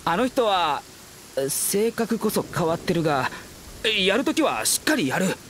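A woman's voice: fairly low pitch, sing-song, a little slow, slight background noise. A woman playing an earnest, spirited young adventurer with a straightforward manner, in anime game style. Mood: assured.

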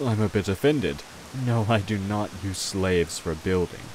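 Deep-voiced courtly vampire king, acted by a man. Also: a little mellow, slight background noise, low-quality recording. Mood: mildly offended, proud. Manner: formal, unhurried, reassuring. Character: courtly, protective male vampire king, gentle and wry. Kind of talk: ASMR roleplay, speaking to the listener.

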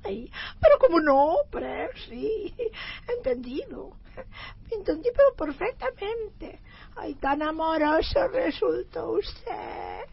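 A woman's voice: very high voice, very animated, slow pace, vocal fry, dull audio, full character voice. A woman playing a scandalized yet tempted immigrant wife in a comedic radio play, exclaiming and fussing. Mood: delighted. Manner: exclamatory, fussy. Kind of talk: comedic radio theatre acting.

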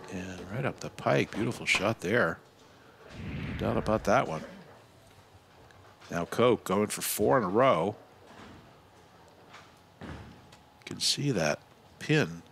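Guy commentating bowling live, kinda deep gravelly voice, bit of alley noise in the back. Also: fairly bright tone. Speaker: sports commentator.

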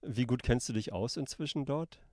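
A man's voice: medium pitch, moderate pace, darkish tone, no room sound, clean studio sound. A man interviewing an actor, asking short questions and prompting with brief acknowledgements.

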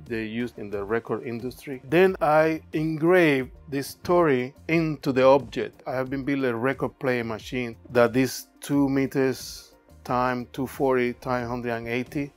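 Cuban-accented man musing on his art. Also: mid-range voice. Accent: Cuban Spanish. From Cuba. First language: Spanish. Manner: thoughtful, with halting non-native grammar. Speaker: artist. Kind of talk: reflective talk about his art. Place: cluttered indoor studio workshop.

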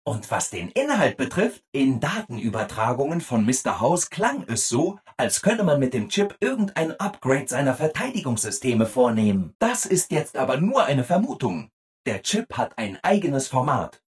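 Over-eager robot assistant, video game character, hugely swooping intonation, very husky rough-edged tone, relentlessly cheerful. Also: male voice, mid-range voice, big pitch swings, moderate pace. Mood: helpfully explaining. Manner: chirpy, over-eager, exaggeratedly obliging.

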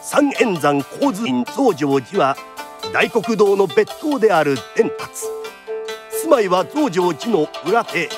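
A man's voice: high voice, very animated, takes it slowly, over music. A man narrating a radio drama as a theatrical storyteller with polite phrasing.

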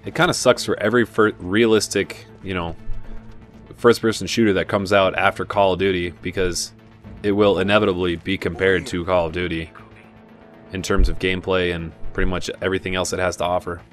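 A man giving relaxed, chatty commentary over a video game playthrough, with frequent asides and fillers. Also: sits low, fairly quick. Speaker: game guide narrator. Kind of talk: casual gameplay commentary. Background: game music and gunfire.

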